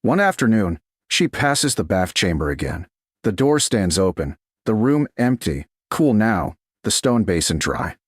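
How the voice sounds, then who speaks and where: A man reading a romance story in a slow, measured narration style. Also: lowish voice, big pitch swings, breathy edge, no room sound, clean studio sound.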